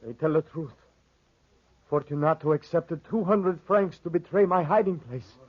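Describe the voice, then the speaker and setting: An actor playing a grim, solemn fugitive with a husky voice in 1940s radio drama style. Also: male voice, fairly high pitch. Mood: grave. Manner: slow, solemn. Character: grim fugitive brother, a hidden man confessing. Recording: dull old 1940s radio recording.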